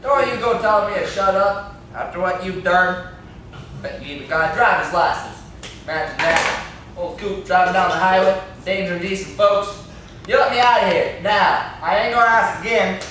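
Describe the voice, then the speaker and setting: Young guy acting indignant country captive in a stage play, high voice, complains and threatens, distant camera sound. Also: normal speed, sharp tone. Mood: angry, scolding. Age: young adult. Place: classroom-like room, indoors. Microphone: far camera. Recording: echoey room, distant camera mic, faint noise.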